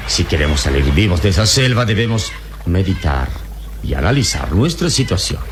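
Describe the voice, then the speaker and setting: Very deep-voiced noble adventure hero. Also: male voice, sing-song. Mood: calm, firm. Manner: solemn, deliberate, theatrical delivery. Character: heroic adult male adventurer, calm, noble, resolute. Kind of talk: radio drama acting. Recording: noisy old radio drama recording.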